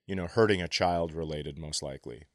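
A man co-hosting a comedy podcast in casual banter, halting and conversational, acting out hypothetical dialogue as he goes. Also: extremely low pitch, dry recording, clean studio sound. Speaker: podcast co-host.

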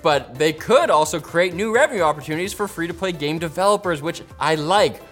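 A man's voice: on the high side, lively intonation, brisk, fairly bright tone, faint music behind. A man hosting tech news, reading a script with playful, sarcastic asides.

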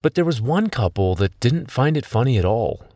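A man's voice: mid-range voice, very animated, moderate pace, darkish tone, no room sound, clean studio sound. A man in his thirties, a podcast host telling a personal story in scripted documentary narration.

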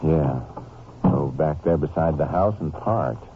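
An actor playing a steady, tough Texas Ranger, terse and matter-of-fact, in a 1950s radio western drama. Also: male voice, very deep voice, unhurried. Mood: watchful, businesslike. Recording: muffled, hissy 1950s radio recording.

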